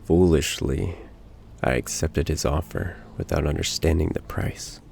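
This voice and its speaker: A cursed, condemned young werewolf played by a male reader confesses in a very low, slow voice. He is bitter and resigned, grave as a storybook tragedy, with a gravelly creak. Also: steady tone, a little mellow, a little hiss or hum, heavily acted. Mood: haunted, regretful.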